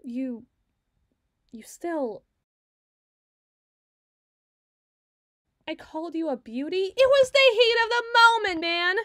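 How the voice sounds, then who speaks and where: A woman acting a sardonic, fast-thinking, bossy secret agent in an ASMR roleplay audio drama, wordy and wry. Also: extremely high pitch, big pitch swings, no echo, clean studio sound. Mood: flustered, defensive. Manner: wry, wordy, teasing, flustered when challenged.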